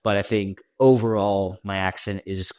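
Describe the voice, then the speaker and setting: A man's voice: fairly low pitch, flat delivery, normal speed, a little mellow, clean tone, no room sound, clean studio sound. A man from Washington D.C. with an American accent, a podcast guest talking casually in an interview, loose and chatty, with lots of "you know" and "right". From Washington D.C., USA. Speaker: podcast guest, content creator.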